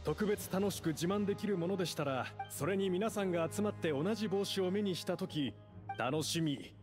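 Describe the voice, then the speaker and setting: A man's voice: high-pitched, lively intonation, fairly quick, over music. A man voicing a polite, earnest club leader in an anime game, formal and deferential. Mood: earnest, courteous. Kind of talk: Japanese anime game voice acting.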